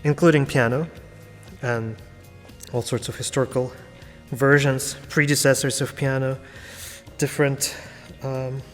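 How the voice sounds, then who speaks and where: A man's voice: mid-range voice, level tone, unhurried, long reverb tail, faint music behind. A man in his thirties from Latvia and raised in Ukraine, a classical pianist chatting casually as an interview guest, thoughtful and full of fillers and restarts.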